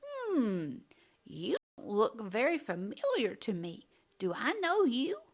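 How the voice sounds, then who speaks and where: A woman puts on a cranky old lady from a storybook. She is easily offended and snappish. Her complaints rise and fall with big swings in pitch. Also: huge pitch swings, takes it slowly, exaggerated voice. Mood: suspicious.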